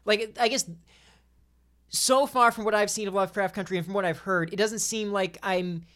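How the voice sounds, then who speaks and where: A podcast speaker in a casual discussion, halting and thoughtful, often rephrasing. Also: mid-range voice, fairly even intonation, a bit bright. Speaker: podcast participant. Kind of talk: casual discussion and critique of fiction.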